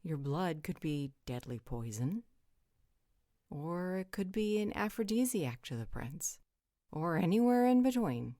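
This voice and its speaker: A woman's voice: lowish voice, big pitch swings, unhurried, dark tone, no echo, studio quality. A woman playing a frank, teasing, worldly supernatural guardian who speaks bluntly in a roleplay audio drama. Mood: matter-of-fact.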